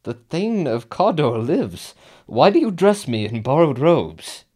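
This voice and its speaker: An actor playing an ambitious, brooding Scottish general in a Shakespearean radio drama, speaking declamatory verse. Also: male voice, fairly high pitch, sing-song, a little slow, a little mellow. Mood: astonished, questioning.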